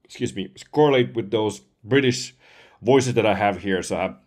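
A man in his forties from Finland, a slightly hoarse voice-over artist giving a casual demo. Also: on the low side, normal speed, a little mellow. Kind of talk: casual demo talk to camera. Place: indoors at home computer, seen wearing headset.

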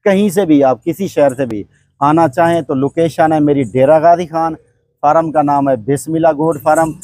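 A man's voice: a little high, moderate pace, dark tone, no echo. A man interviewing a customer on his goat farm, friendly and polite. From Pakistan. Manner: friendly, polite, repeats "my brother". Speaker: goat farm owner and host. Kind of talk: casual interview.

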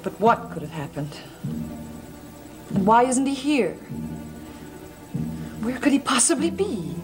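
A woman's voice: on the high side, sing-song, takes it slowly. An actress playing a worried, earnest, fretful woman in a gothic soap opera. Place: television studio set. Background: dramatic brass music.

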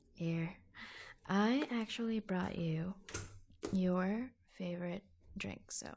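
A woman playing an affectionate, proud and reassuring secret office lover in an ASMR roleplay, speaking softly, breathily and hesitantly, often close to a whisper. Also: fairly low pitch, very slow, pauses often, a little hiss or hum. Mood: tender, hushed. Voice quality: soft, breathy. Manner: hushed, hesitant, confiding.

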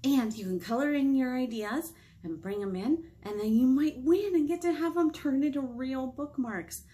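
A woman's voice: high voice, moderate pace, mellow. An American woman in her thirties hosting a children's storytime, chatting in simple phrases and asking young listeners questions. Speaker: children's librarian, storytime host. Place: small indoor room, painted wall.

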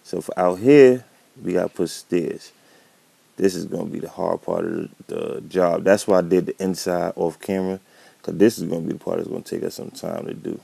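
Man, deep and husky, dark tone, easygoing game commentary with a touch of a cold. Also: steady tone, a little fast, no echo. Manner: laid-back, thinking aloud while building. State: catching a cold. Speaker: gameplay commentator. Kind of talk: casual gameplay commentary.

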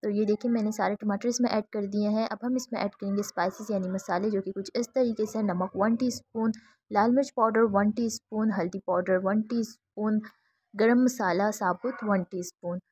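A woman's voice: mid-range voice, fairly even intonation, moderate pace, darkish tone, breathy edge, no echo. A woman hosting a cooking tutorial, talking through each step in an easygoing, chatty way. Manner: easygoing, chatty, mixes English words into Hindi-Urdu. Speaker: cooking channel host.

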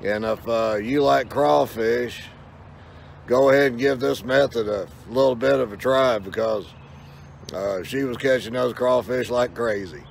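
An older man of about sixty with a gravelly voice, chatting casually and unhurriedly to his phone as he records a vlog outdoors. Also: medium pitch, measured pace. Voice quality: gravelly, creaky. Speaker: vlogger. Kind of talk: casual rambling vlog talk to camera. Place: outdoors, in a yard or by water. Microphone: phone at arm's length. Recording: outdoor ambient noise and hum.

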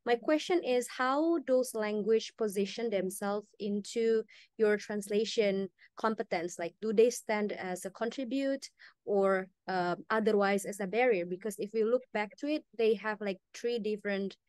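A woman's voice: mid-range voice, darkish tone, dry recording, clean studio sound. Hesitant woman moderating over a video call. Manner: hesitant, fills pauses with uh and like. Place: indoors.

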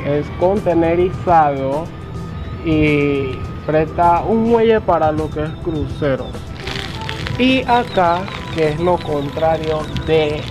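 A young adult man, presenting a vlog casually outdoors, pausing between short phrases. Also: fairly high pitch. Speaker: vlogger. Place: outdoors on a rooftop above a city.

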